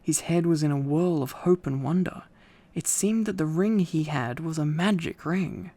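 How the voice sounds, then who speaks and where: A man narrating a storybook in a relaxed bedtime reading style. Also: on the high side, moderate pace.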